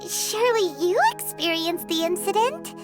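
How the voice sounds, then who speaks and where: An actress playing a tiny, childlike female fairy companion in a fantasy video game, chirpy, blunt and very expressive. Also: normal speed, loud music behind the voice. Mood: chirpy, curious. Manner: squeaky, expressive, refers to herself in third person.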